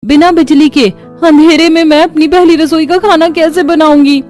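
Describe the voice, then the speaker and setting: A woman's voice: high voice, fairly even intonation, normal speed, darkish tone, soft background music, pitched above the performer's own voice, natural voice. A woman voicing an anxious, plaintive young bride who exclaims in distress in a cartoon. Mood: worried.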